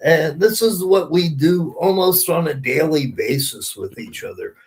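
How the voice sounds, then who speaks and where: A man's voice: on the high side, expressive, measured pace. A man hosting a podcast in casual chat, telling anecdotes in an informal, emphatic, sweary way. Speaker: podcast host.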